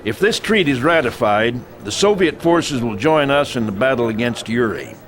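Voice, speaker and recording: An actor playing a gruff, folksy army general in a game, commanding and urgent, with an emphatic, colloquial manner. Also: male voice, mid-range voice, lively intonation, normal speed, a crowd in the background, close to an everyday voice. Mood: firm briefing. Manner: emphatic, colloquial, barking orders. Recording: noisy game audio, military ambience behind.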